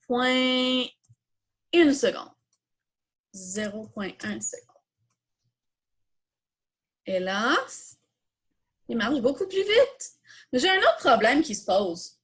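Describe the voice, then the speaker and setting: A woman with a Quebec French accent teaches a hands-on workshop in a slightly high voice. She takes her time, talking slowly through each step. Her delivery is informal and explanatory, often asking a question and then answering it. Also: breathy edge. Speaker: coding workshop instructor. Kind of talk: informal step-by-step tutorial, thinking aloud.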